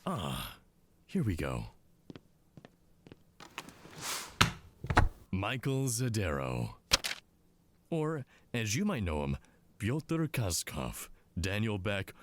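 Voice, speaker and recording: Smug, low-voiced male commander. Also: a little hiss or hum. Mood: mocking, smug. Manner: condescending, mocking, drawling asides. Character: smug, condescending male commander of an intelligence team. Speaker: voice actor in audio drama. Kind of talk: scripted drama dialogue.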